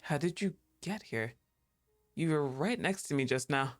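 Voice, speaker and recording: Woman reading a calm, sweet game girl. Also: deep voice, moderate pace. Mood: gentle.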